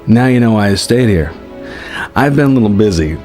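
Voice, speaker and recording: A man's voice: fairly low pitch, animated, fairly quick, a little mellow, soft background music, close to an everyday voice. A man voicing a seasoned, wry Jedi master who mentors with assurance in a dramatized story reading. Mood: casual, wry.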